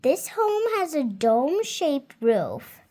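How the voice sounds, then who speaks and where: A woman's voice: high voice, sing-song, takes it slowly, dark tone. A seven-year-old girl reading a children's nonfiction book aloud carefully, word by word, adding her own small comments. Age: child, 7 years old. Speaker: child reader.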